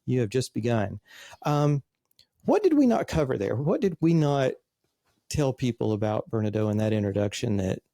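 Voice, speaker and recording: A man's voice: medium pitch, sing-song, normal speed, warm tone, no echo, clean studio sound. A man in his fifties hosting an interview, reading a guest introduction and then chatting loosely with fillers. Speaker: podcast host. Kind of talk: interview host.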